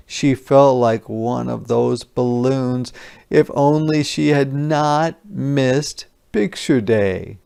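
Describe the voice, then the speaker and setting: A man teacher reading a children's picture book aloud, adding simple, deliberate explanations for young listeners. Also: medium pitch, creaky, a little mellow. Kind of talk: interactive children's read-aloud with explanatory asides.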